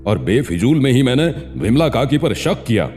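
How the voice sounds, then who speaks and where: A man voicing an excitable, dramatic village figure in a cartoon story. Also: medium pitch, moderate pace, natural voice. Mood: indignant. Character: excitable village men, crier and aggrieved headman. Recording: long reverb, music bed.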